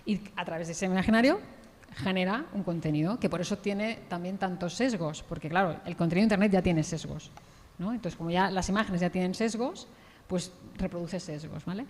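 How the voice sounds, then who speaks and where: A woman's voice: lowish voice, darkish tone. Woman panelist in an echoing hall. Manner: halting, seeks agreement with frequent question tags. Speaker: journalist on a discussion panel. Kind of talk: spontaneous panel discussion. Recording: reverberant hall, faint background noise.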